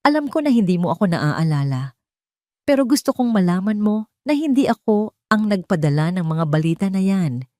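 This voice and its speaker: A woman's voice: medium pitch, sing-song, moderate pace, warm tone, no echo, clean studio sound, lightly acted. A woman voicing an earnest, defiant male doctor in an audio story, speaking emphatically. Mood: pleading, earnest.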